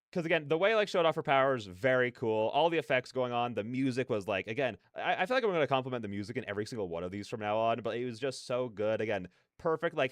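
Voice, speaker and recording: Male streamer, mid-pitched clean smooth voice, dry close sound, rambling and slangy. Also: no room sound. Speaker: livestream host. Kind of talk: casual reaction livestream chat.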